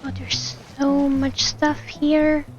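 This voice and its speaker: A woman game streamer chats casually in a high, giggly voice at a slow pace. Her reactions are sympathetic, and the sound is slightly dull and lo-fi with game music behind. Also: little pitch movement. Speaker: streamer playing a story game. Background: video game music.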